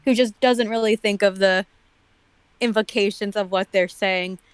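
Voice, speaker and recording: A woman's voice: medium pitch, steady tone, normal speed, clean tone, dry recording. A woman with a mid-range voice in a very dry, close space. She chats casually as a commentator, explaining things matter-of-factly. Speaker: guest commentator on a comedy reading show. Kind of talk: casual chat.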